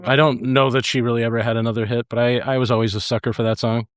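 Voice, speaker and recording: A man's voice: mid-range voice, little pitch movement, fast, clean tone, dry recording, studio quality. A man speaking casually as a podcast guest.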